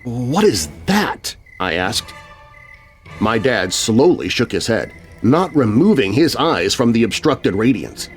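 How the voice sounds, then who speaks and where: A man's voice: on the low side, sing-song, measured pace. A man narrating a scripted children's horror story. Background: music.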